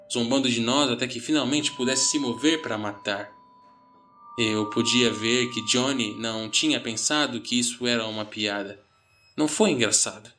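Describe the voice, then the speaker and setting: A man with a Brazilian Portuguese accent reading a scripted horror story in a measured, suspenseful way. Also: medium pitch, a bit bright. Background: faint background music.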